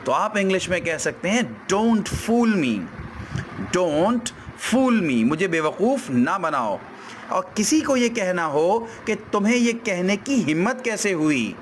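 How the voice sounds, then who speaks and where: A man with a sore throat gives a language lesson, with a voice that sits a little high for a man. He speaks very slowly and deliberately, saying every example sentence twice. Faint traffic noise runs in the background. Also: fairly bright tone. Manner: slow, deliberate, repeats each example sentence. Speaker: English language teacher.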